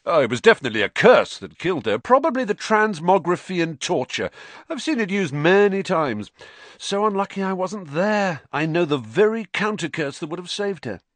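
A man's voice: high voice, sing-song, moderate pace, no echo, voice pushed up. A man voicing a pompous, boastful middle-aged wizard teacher in an audiobook, breezy and self-satisfied. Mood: breezy, self-important. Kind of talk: audiobook character voice.

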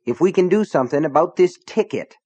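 A man's voice: a little high, animated, brisk, mellow, slightly rough, no echo, voice pushed up. An adult man voicing a burly, hot-tempered small-town official who talks in a blustering, sulky way. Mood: angry. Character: burly hot-tempered middle-aged male selectman.

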